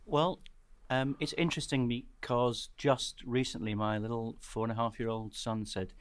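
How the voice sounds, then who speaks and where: An English theatre director talking as an interview guest, telling stories in a chatty way. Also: male voice, medium pitch, fairly even intonation, moderate pace, clean tone. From England. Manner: chatty, storytelling. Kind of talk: interview conversation.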